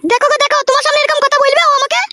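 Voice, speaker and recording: A woman's voice: very high voice, little pitch movement, very fast, loud music behind the voice. A woman voicing a stern middle-aged mother-in-law in a comic cartoon, nagging and challenging her son-in-law. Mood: scolding, indignant. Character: shrill, scolding middle-aged mother-in-law siding with daughter. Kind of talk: comic cartoon dialogue in village family quarrel.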